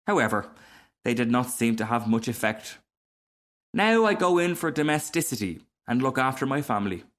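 A man's voice: mid-range voice, big pitch swings, moderate pace, a bit bright. A homely female duck from a storybook , put on by a male reader. She is peaceful and good-natured, chatting mildly and agreeably. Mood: chatty, mild. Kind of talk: storybook character reading.

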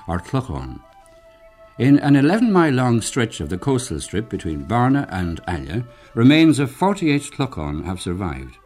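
A man narrates a documentary from a script, measured and formal. His voice is lowish but very animated in pitch, with faint music behind him.

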